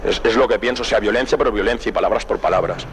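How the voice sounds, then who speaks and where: A man's voice: fairly low pitch, quick pace. A teenage male interviewee with a Spain accent, speaking colloquially and defiantly, repeating himself in a spontaneous interview. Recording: 1990s archive radio interview, background noise.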